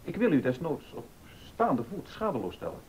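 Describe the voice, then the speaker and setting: A male actor playing a polite, conciliatory gentleman in a docudrama, courteous and placating. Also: a little high, huge pitch swings, moderate pace, slight background noise, low-quality recording. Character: polite, conciliatory gentleman patron, increasingly uneasy. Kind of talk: Dutch docudrama acting.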